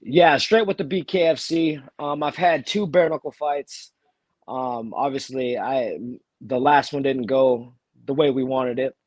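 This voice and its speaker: Man, fighter, slight cold, halting speech, dry close sound. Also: mid-range voice, no echo, low-quality recording. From Texas, USA. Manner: halting, many restarts and fillers. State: has a cold. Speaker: bare-knuckle fighter, guest. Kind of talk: casual interview chat.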